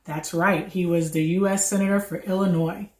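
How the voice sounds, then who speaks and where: A woman's voice: fairly low pitch, fairly even intonation, normal speed, a little mellow. Woman teaching in an echoey room. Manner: encouraging, repeats and confirms answers. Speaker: host of a children's read-aloud. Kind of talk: educational chat, quizzing a child.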